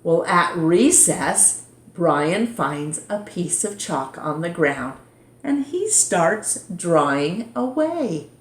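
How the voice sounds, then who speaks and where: Lady doing kids storytime, really slow n expressive, echoey room. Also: lowish voice. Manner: animated, deliberate, dramatizes dialogue for young listeners. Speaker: storyteller reading a picture book aloud. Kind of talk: expressive children's storytime narration. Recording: roomy echo.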